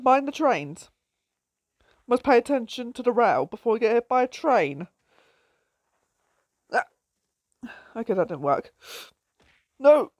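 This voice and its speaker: A woman with a croaky voice chats her way through an online game, laughing at her own moves and shouting excitedly. Her voice sits a little high, and she talks at an unhurried pace. The sound is dry and close to the mic. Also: no echo. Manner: giggly, excitable, frequent laughs and exclamations. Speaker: gameplay commentator. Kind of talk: casual gameplay commentary.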